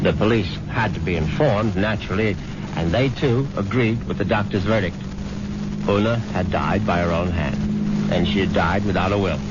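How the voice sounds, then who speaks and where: An actor playing a smooth, greedy, murderous husband in a 1970s radio drama, suave, unctuous and smug. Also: male voice, low-pitched, normal speed, very noisy, close to an everyday voice. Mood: smugly confiding. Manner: suave, unctuous, smugly self-satisfied. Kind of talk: 1970s radio drama acting with confiding narration. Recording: dull 1970s radio recording, loud music behind.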